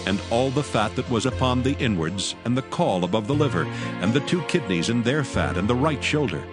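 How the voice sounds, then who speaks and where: A man narrating scripture in a steady, reverent, measured way for a dramatized audio Bible. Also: fairly low pitch. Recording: narration over clear music. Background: music.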